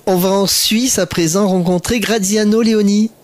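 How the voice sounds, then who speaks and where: Radio host, male; high-pitched, dry studio mic, brisk interviewer manner. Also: fairly even intonation, no room sound.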